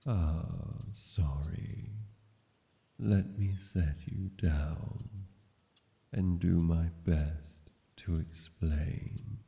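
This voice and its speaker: A man playing an ancient, brooding dragon king in a fantasy audio roleplay, speaking tenderly and deliberately to a lover, with dramatic pauses. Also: full character voice. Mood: gentle, cautioning. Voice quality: gravelly low voice. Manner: slow, deliberate, hushed storytelling with dramatic pauses. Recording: echoey cavern-like reverb, dull, noisy.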